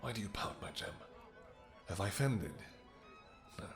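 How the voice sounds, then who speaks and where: A man's voice: fairly low pitch, animated, moderate pace, music in the background. A man voicing a doting, possessive, brooding vampire king who speaks in formal, archaic, theatrical phrases in a romantic fantasy audio roleplay. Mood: pleading, distressed.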